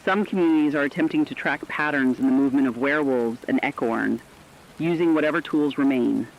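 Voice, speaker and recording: A man narrating a scripted emergency radio broadcast in a grave, measured manner. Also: mid-range voice, gravelly. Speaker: radio announcer. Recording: slightly dull audio with faint rain noise.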